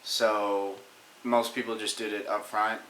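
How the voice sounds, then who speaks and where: A man in his twenties chatting casually beside his wife at home, relaxed and dry, with halting restarts. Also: lowish voice. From Texas. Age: 20s. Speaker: vlogger's husband. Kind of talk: casual chat. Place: home room indoors, behind the webcam. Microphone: webcam, sitting back. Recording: roomy sound with faint noise.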